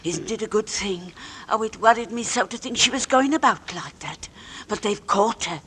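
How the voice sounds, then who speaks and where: A woman's voice: medium pitch, lively intonation, moderate pace, fairly bright tone, husky. A woman playing a chatty, deferential housemaid in a 1940s radio drama. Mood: excited gossip. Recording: vintage 1940s radio recording.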